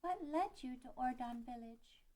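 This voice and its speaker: Woman as an earnest, very high-voiced ranch girl. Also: normal speed, dark tone, natural voice. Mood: gently curious. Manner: emotive, earnest. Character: earnest, tender-hearted young ranch girl. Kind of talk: amateur table read of a game-based drama.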